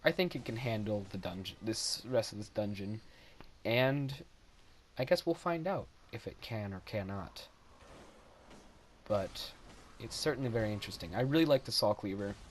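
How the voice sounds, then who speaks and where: A man's voice: fairly low pitch, expressive, normal speed, slight background noise. Giggly young man rambling over gameplay. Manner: rambling, self-deprecating, giggly. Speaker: gameplay commentator. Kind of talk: casual game commentary voice-over.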